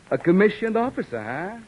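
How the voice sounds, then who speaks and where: An actor playing a weary beat cop who narrates and trades dry wisecracks in an old-time radio drama. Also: male voice, high voice, slight background noise, low-quality recording. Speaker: narrator and police officer. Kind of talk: 1940s radio acting.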